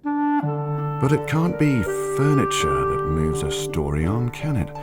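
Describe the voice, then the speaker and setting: An actor playing a brooding literary narrator of a bleak radio tale, musing and deliberate, with dramatic delivery. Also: male voice, mid-range voice, wildly swooping pitch. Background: woodwind music.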